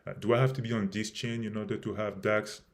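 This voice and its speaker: A man hosting a live stream about his project, speaking haltingly with many fillers and restarts, and losing his voice a little. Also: on the low side, flat delivery. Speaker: crypto project host.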